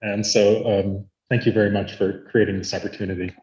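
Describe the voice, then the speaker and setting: Man from Arizona, Latvian first language, lower voice, measured lecture with fillers, roomy hall sound. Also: flat delivery, a little mellow, muffled recording. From Arizona, United States. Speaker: academic speaker. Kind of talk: conference lecture.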